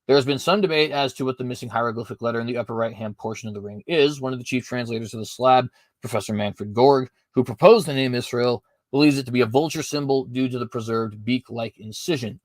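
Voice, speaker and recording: A man's voice: mid-range voice, fast talker, no echo. A man hosting a podcast, reading prepared commentary aloud with frequent fillers and self-corrections. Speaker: podcast host. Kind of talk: apologetics commentary reading notes.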